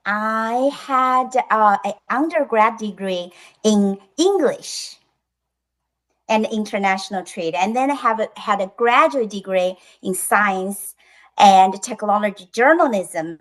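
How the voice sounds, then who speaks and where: A woman from China with a Chinese accent, speaking English as an acquired language, talks slowly as an interview guest, with many pauses and fillers. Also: medium pitch. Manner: slow and deliberate, many ums and pauses. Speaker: guest, children's book creator. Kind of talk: interview conversation.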